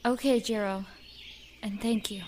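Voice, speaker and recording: A woman playing a bereaved, grieving sister in an amateur radio drama, halting and emotional. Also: medium pitch, fairly even intonation, close to an everyday voice. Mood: subdued. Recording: clear background noise.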